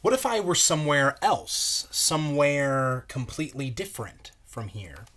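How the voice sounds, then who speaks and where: A man in his thirties reading a children's picture book aloud in a measured, wondering way with pauses. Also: fairly high pitch, animated, fairly bright tone. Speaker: children's story reader. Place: small bright room at home, indoors.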